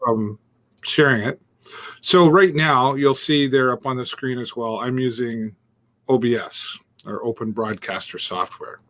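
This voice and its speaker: A man's voice: mid-range voice, unhurried, fairly bright tone. A man co-hosting a casual live-stream chat, explaining his tech setup step by step with chuckles and trailing sentences, on a Bluetooth earpiece. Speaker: co-host demonstrating streaming setup.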